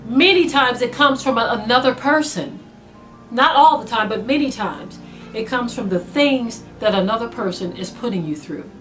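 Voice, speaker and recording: A woman preaching a sermon to an online audience, earnest and exhorting, slowing down to stress her points. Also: fairly high pitch, lively intonation, a bit bright, faint music behind, poor audio. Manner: earnest, exhorting, slow and emphatic with rising intensity. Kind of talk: spoken sermon to a video audience.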